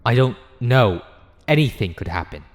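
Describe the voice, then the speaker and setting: A man's voice: mid-range voice, animated, normal speed, pitched above the performer's own voice, close to an everyday voice. A man playing an anxious, defensive time-travelling alien in an audio drama, speaking in an agitated, insistent way.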